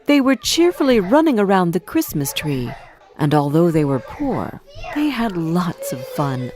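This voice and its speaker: Female narrator for learners' fairy tales: lowish pitch, unhurried deliberate delivery, scripted. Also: big pitch swings, darkish tone, a little hiss or hum.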